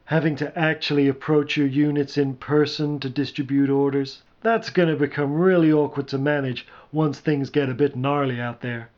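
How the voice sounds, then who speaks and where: A man's voice: a little high, fairly even intonation, moderate pace, hardly any pauses, darkish tone, highs cut off, close to an everyday voice. A man voicing a practical, doubtful but well-meaning sidekick who reasons tentatively in a storybook reading.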